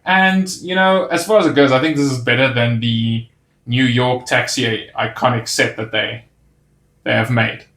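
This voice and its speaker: A man's voice: mid-range voice, fairly even intonation, moderate pace, fairly bright tone. A man narrating a casual toy set review, pointing out details with easy enthusiasm. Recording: roomy echo on the voice.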